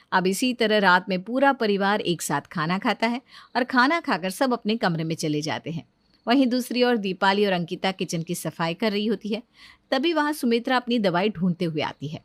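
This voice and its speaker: A woman's voice: medium pitch, a bit bright, no room sound. A woman reading scripted narration for a Hindi cartoon family story.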